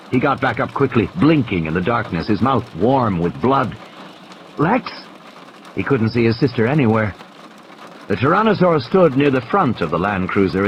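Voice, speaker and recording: A man narrates a thriller audiobook with a very lively, varied pitch at a middle register. Rain and storm sound effects run clearly behind his dramatic reading. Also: noisy background. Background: rain, vehicle and ambience sound effects.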